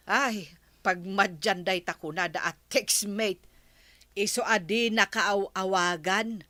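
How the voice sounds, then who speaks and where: A woman's voice: mid-range voice, fairly bright tone, no room sound. A woman playing a knowing, gossipy friend in an Ilocano radio comedy drama, with an Ilocano accent.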